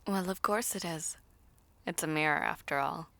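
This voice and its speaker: A woman voicing a haughty but kindly royal princess in an audio roleplay, formal and measured, sometimes breathy. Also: low-pitched, bright, forceful tone, no room sound, full character voice. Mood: composed, aloof. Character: soft-spoken, haughty royal princess, blunt yet kindly.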